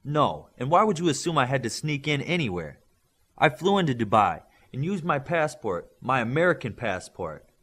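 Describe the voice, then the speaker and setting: A defiant, cutting teenage girl who answers back in clipped lines, as a male audiobook reader puts her on. She speaks a touch higher and louder than the reader's narration, with lively rises and falls. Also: normal speed, slightly rough. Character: teen girl, sharp-tongued, proud Israeli.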